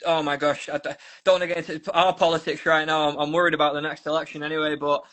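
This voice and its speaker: A man's voice: a little high, little pitch movement, quick pace, a bit bright. A man from South Manchester with a Manchester accent, chatting as a volunteer guest on a video call, rambling with restarts and asides. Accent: Manchester English. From South Manchester, England. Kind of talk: casual interview chat. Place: Ukraine.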